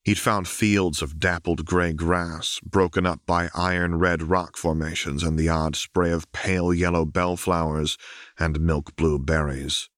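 A man narrating a fan fiction audiobook in a steady, even storytelling manner. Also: sits low, measured pace, no room sound, studio quality.

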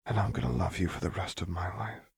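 A man voicing a tender, devoted young woman in a story, speaking softly and close to a whisper. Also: sits low, lively intonation, fast, slightly rough, dry recording, heavily acted. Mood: tender, loving. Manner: soft, whispered, tearful tenderness.

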